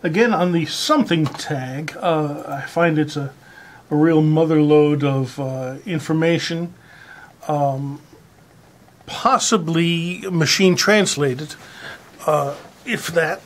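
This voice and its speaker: A presenter with a halting, hesitant, deadpan delivery, speaking in a mock-serious way while sharing a piece of writing aloud. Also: medium pitch, a little hiss or hum. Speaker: presenter reading a found article aloud. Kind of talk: rambling deadpan introduction and mock-serious dramatic reading.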